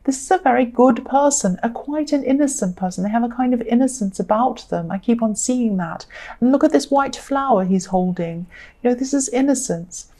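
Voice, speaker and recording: A woman giving a solo tarot reading, speaking gently with a slightly croaky voice, repeating herself and thinking aloud with plenty of fillers. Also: a little high, lively intonation, normal speed. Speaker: tarot reader.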